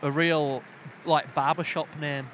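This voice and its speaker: Man, Yorkshire accent, high bright voice, jokey excitable football commentary, colloquial banter, outdoors. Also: measured pace, slight background noise. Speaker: football commentator and podcast host. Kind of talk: bantering live match commentary.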